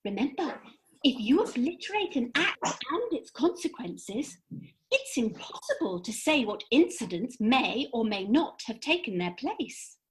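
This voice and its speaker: A woman plays a lofty fairy in a comic radio play. Her voice is high and swoops dramatically up and down as she lectures in brisk, precise, theatrical phrases. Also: big pitch swings, slight background noise, poor audio. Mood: instructive, grave.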